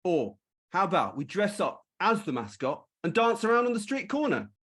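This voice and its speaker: A man's voice: sits high, very animated, normal speed, a bit bright, husky, full character voice. A man acting office staff in an amateur comic story, from grave accountant to silly delivery guy. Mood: eager. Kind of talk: amateur comic audio drama.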